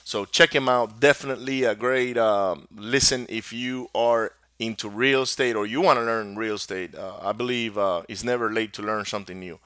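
A middle-aged man from Venezuela with a Spanish accent talks through a solo podcast, his voice pitched a bit low yet bright and forceful. He rambles, restarting sentences and piling on fillers. The sound is close and dry. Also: fairly even intonation, moderate pace, hardly any pauses, clean tone, dry recording. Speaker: podcast host.

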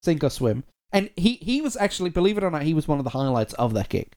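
A man's voice: fairly high pitch, big pitch swings, fast, darkish tone. A man hosting a music podcast in a rambling, self-deprecating, chatty way, his audio sounding compressed and dull. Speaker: podcast host. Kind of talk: casual podcast chat.

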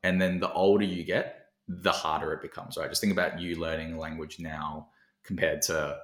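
A man's voice: rumbling low, level tone, normal speed, a bit bright. A man in casual conversation as a podcast co-host, reflective and thinking aloud with fillers. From Australia. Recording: roomy echo.

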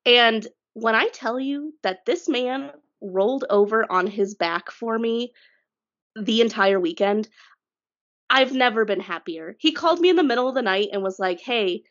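A woman co-hosting a podcast with a cold, her voice a little congested. She chats and tells stories in a lively, rambling way, with pitch that jumps around. The audio is slightly dull and lo-fi. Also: measured pace. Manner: chatty storyteller, lively pitch swings. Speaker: podcast co-host. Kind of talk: casual chat.

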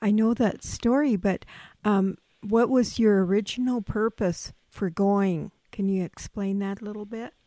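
A woman hosting a radio talk show, gentle and halting as she questions her guest. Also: medium pitch, no room sound. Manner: slow, gentle, halting with restarts. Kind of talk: casual radio interview.

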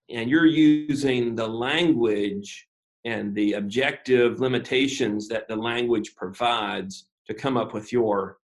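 Male pastor, interview guest, mid-range voice, methodical and unhurried, pauses with ums, thinks out loud. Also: mid-range voice. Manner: measured, methodical, frequent "uh" and "um" pauses; self-deprecating humor. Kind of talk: unscripted interview explanation.

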